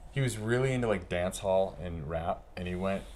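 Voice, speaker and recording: A man's voice: deep voice, moderate pace, a little mellow. A middle-aged man chatting casually as a podcast guest, laid-back, slangy and freely swearing as he reminisces. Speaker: podcast guest, veteran pro snowboarder. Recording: noticeable background room noise.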